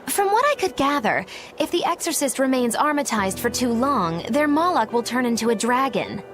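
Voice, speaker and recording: A woman's voice: high-pitched, very animated, moderate pace, music in the background. A sly, sing-song witch. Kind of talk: game dialogue dub. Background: game score.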